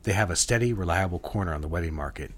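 An actor playing a smooth, commanding married advertising executive of the 1960s in a romantic audio drama, speaking in an intimate, instructive way. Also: male voice, sits low, racing. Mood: businesslike, instructive.

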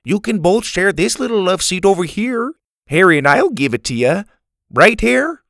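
Man putting on a chipper canadian guy, high bouncy voice, gravelly. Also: big pitch swings, moderate pace, a bit bright, no echo, studio quality, full character voice. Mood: cheery. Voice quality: cheerful, folksy. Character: cheery, friendly Canadian man.

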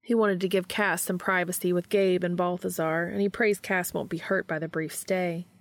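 A woman's voice: fairly low pitch, fairly even intonation, normal speed. A woman narrating a fiction audiobook from a script.

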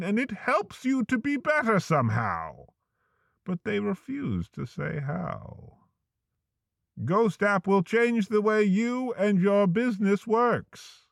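Slow, swooping spooky male narrator. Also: fairly bright tone. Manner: dramatic, spooky storyteller delivery with swooping pitch. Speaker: narrator and host. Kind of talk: deadpan horror-parody narration.

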